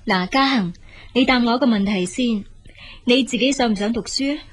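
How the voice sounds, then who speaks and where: A firm, practical big sister in a radio drama. Her voice sits fairly high, and she speaks frankly in brisk, reassuring, matter-of-fact Cantonese. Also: husky. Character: practical, dutiful elder sister, married emigrant, firm and frank.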